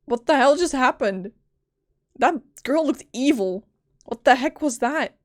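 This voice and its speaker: Lively, high-pitched woman streaming a game. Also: a little slow, no echo, clean studio sound. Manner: informal, expressive, thinking aloud. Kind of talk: casual live reaction commentary while playing a video game. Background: game music.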